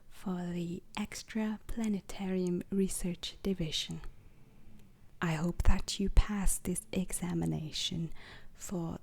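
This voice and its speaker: A woman playing a solemn, strict alien instructor in an ASMR roleplay, lecturing deliberately in a breathy whisper. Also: lowish voice, heavily acted.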